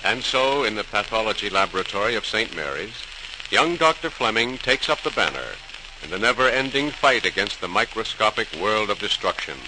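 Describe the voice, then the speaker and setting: A man's voice: on the low side, lively intonation, a little fast. A man narrating a scripted story as a 1940s radio host, formal and dramatic. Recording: noisy, crackly 1940s radio transcription.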